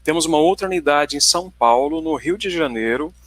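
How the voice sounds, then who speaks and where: A man's voice: a little high, fairly quick, a bit bright, no echo. A man in his forties with a Paraná Brazilian accent, giving a conversational company presentation in a tech webinar and often checking in with his listeners. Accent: Paraná Brazilian Portuguese. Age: 40s.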